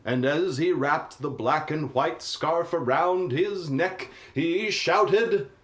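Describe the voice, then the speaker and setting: A man narrating a radio play like a storybook, with a dramatic, deliberate delivery. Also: a little high, fairly bright tone.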